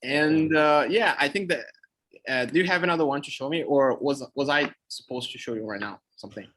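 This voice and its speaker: Brazilian man, slightly high voice. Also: brisk, fairly bright tone. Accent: Brazilian Portuguese. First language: Brazilian Portuguese. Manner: thinks aloud, searches for words mid-sentence. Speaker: co-host teaching expressions. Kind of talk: casual chat.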